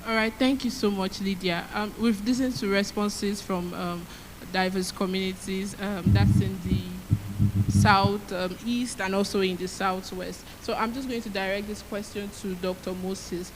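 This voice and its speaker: A woman's voice: mid-range voice, steady tone, measured pace, noisy background. A woman moderating a conference panel, polite and a little hesitant as she puts a question to a guest. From Nigeria.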